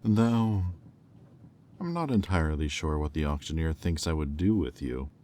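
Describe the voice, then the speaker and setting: A man playing a haughty, menacing demon in roleplay audio, speaking in a condescending, deliberate, taunting drawl. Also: a bass voice, expressive, warm tone, slight background noise. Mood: threatening, taunting. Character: haughty, menacing male demon buyer, coolly cruel and mocking. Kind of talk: slow, intimate roleplay audio acting.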